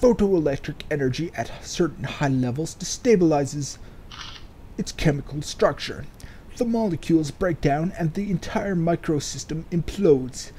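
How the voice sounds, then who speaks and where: A man's voice: fairly high pitch, lively intonation, normal speed, warm tone, slight background noise. A man hosting a let's play, with rambling, casual commentary and stretches of game text read aloud. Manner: rambling, speculative, sweary.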